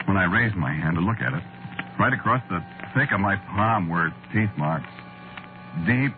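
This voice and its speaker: A very low-voiced weary, haunted man confesses a murderous dream in halting, deliberate phrases. It is 1940s radio drama, muffled and narrow like an old broadcast, with music playing underneath. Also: animated. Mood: ominous, quiet. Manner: hushed, deliberate, halting, conversational. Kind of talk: 1940s radio drama first-person narration. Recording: muffled vintage radio recording, music behind.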